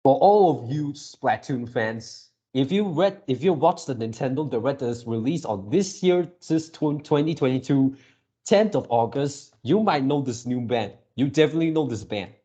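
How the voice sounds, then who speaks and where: A man giving casual fan commentary as a video creator, halting as he repeats words and searches for phrasing. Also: medium pitch, lively intonation, mellow, breathy edge. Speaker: video creator reacting to a game series.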